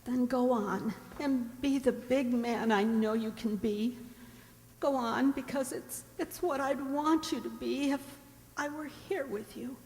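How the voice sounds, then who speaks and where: A high-voiced young woman on her deathbed as an actress plays her, speaking slowly and tenderly. She is devoted and earnest, in radio drama style. The sound carries a long hall reverb. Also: darkish tone. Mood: earnest, reassuring. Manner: slow, tender, earnest delivery. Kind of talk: stage-like radio drama acting.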